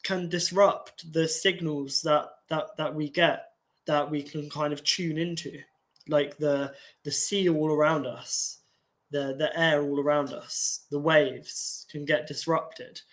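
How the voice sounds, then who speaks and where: A man, nasal and congested, talking in a slow, halting way with many restarts and fillers. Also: on the high side, steady tone, a bit bright. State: congested from an infection. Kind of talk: casual rambling talk.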